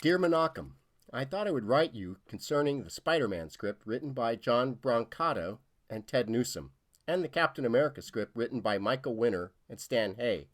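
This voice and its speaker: A man reading a formal letter from a male studio president in a radio-play style script reading, with measured delivery and sharp emphasis. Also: mid-range voice, lively intonation, natural voice.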